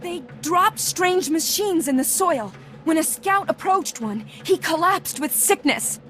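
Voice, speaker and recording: An actress playing an alarmed young villager in a video game, reporting with urgency and anxiety. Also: female voice, extremely high pitch, animated, unhurried, a bit bright, faint music behind, exaggerated voice. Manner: urgent, anxious. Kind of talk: video game drama acting.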